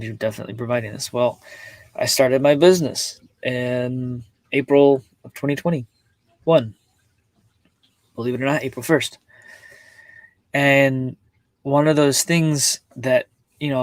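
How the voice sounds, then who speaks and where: A man with a mid-pitched voice, recorded dry and close, hosts a casual personal livestream. He talks in a halting, reflective way, repeating words as he works through his thoughts and addresses his viewers. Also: no echo. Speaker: host of a personal live-streamed show. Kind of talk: casual, confiding livestream talk to viewers, reading chat comments.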